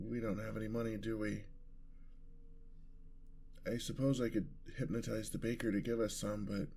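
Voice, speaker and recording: Male actor as courtly vampire lover for ASMR roleplay: unhurried, creaky delivery, tender and sentimental. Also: lowish voice, a little mellow. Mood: hesitant, earnest. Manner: formal, flowery, tender old-fashioned phrasing. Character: gentle, lovestruck, world-weary male vampire, courtly and sentimental.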